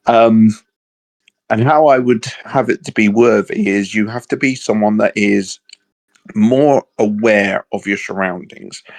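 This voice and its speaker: A British man chatting casually with a co-host, rambling and hesitant, laughing often. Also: on the low side. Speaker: podcast co-host. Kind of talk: casual chat.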